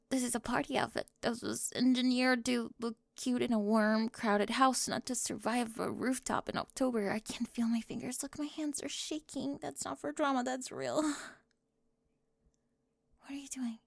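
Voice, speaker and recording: Woman doing a snarky cheerleader bully, kinda high voice w/ vocal fry, lots of close whispering. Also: moderate pace, dry recording. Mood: defensive. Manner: sarcastic, prickly, defensive, often whispering. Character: sharp-tongued young woman cheerleader bully. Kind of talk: ASMR roleplay.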